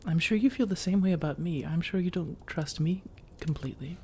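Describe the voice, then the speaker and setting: Casting: a woman to play a seductive vampire lady for ASMR audio roleplay, warm low-lit timbre, soft-spoken, slow teasing pauses, whispery edges. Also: a little hiss or hum, lightly acted. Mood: coaxing, affectionate. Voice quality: soft, breathy delivery slipping into whispers. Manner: teasing, measured, intimate, with deliberate pauses. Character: flirtatious, coaxing female vampire seeking a roommate.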